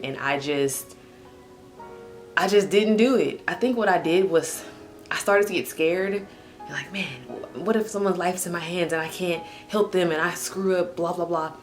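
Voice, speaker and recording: Low-voiced woman in her twenties, chatty vlog. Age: 20s. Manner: candid and chatty, heavy use of "like" and "um". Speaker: vlogger. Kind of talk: casual personal talk to camera.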